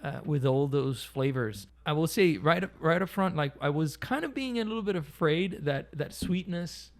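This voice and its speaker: A man with an Italian accent, English not his first language, co-hosting a casual beer tasting, talking haltingly with many fillers and restarts. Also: fairly high pitch, lively intonation. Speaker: beer reviewer, co-host.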